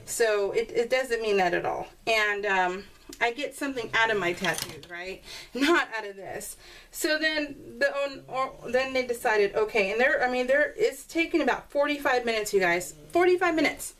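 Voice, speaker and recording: A woman with a fairly high voice rambles through casual personal stories as a craft video host. She is recorded a little way from the microphone and often slips into quoting conversations as she talks. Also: normal speed. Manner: rambling, animated retelling with quoted dialogue; laughs often while talking. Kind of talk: casual chatty storytelling over a scrapbooking process video. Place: indoors at a craft table. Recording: voice distant from the mic.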